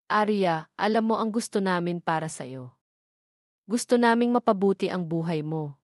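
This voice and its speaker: A woman narrator plays a disapproving, protective mother in an audio drama. She is insistent and pleading, at a middle female pitch, recorded dry and close. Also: normal speed, no room sound, lightly acted.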